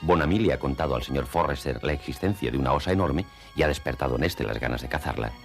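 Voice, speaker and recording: Extremely low pitch, creaky grain, solemn cartoon narrator, scripted storytelling, faint music. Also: male voice, quick pace. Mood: ominous, inviting. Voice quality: very deep, gravelly.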